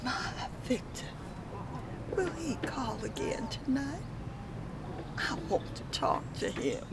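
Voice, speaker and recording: Woman acting lovelorn old widow, very high, swooping. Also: sing-song, slow, heavy background noise, full character voice. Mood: wistful, weak. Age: older. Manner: pleading. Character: frail, lovelorn elderly widow yearning for her husband. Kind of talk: audio drama acting. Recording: loud music behind the voice.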